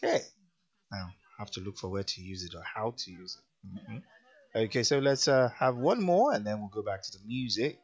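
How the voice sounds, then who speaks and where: A man's voice: fairly low pitch, lively intonation, brisk, husky. Nigerian guy hosting radio, chatty, voice jumps all over, bit muffled sound. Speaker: radio host. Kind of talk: casual on-air chat.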